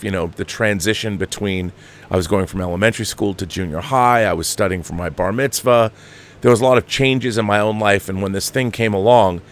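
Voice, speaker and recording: American guy in his 50s, lowish voice, rambling emphatic movie commentary, kinda halting, really into it. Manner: emphatic, halting, digressive delivery. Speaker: online film commentary show host. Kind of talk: impassioned off-the-cuff film commentary.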